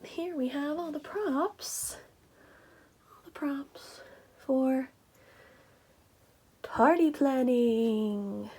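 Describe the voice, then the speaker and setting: Creaky-voiced, higher-pitched woman chatting casually. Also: very slow, long pauses, darkish tone. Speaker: ASMR video creator and vlogger. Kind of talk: casual behind-the-scenes vlog chat.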